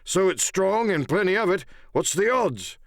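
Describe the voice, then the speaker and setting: A man voicing a sly, wounded old pirate coxswain in an audiobook, with wheedling, halting, talk. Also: high voice, sing-song, gravelly, dry recording, clean studio sound, pitched above the performer's own voice, exaggerated voice. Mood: wheedling, hesitant.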